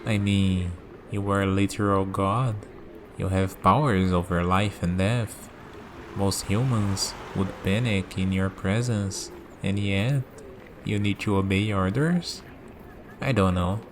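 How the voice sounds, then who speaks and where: Guy doing a calm curious villain, asmr rp, really slow dry musing delivery, noticeable noise in the background. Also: low-pitched. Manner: musing, dryly teasing, unhurried. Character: calm, curious young male villain bargaining with a death god. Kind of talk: scripted ASMR roleplay.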